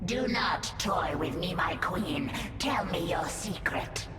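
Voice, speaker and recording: A woman's voice: on the low side, lively intonation, slow. A woman playing a proud, warlike alien brood mother in a video game, speaking formally and solemnly with a layered creature effect. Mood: probing, impatient. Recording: echoing voice under loud music.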